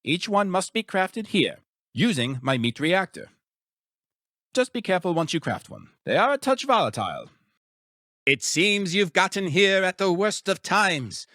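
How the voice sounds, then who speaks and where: An actor playing an eccentric, grandiose mad scientist in a video game, secretly villainous, with a theatrical, pompous, wordy manner. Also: male voice, high voice, sing-song, unhurried, pushed and bright, dry recording, clean studio sound, full character voice. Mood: explanatory, pompous. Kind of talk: video game character voice acting.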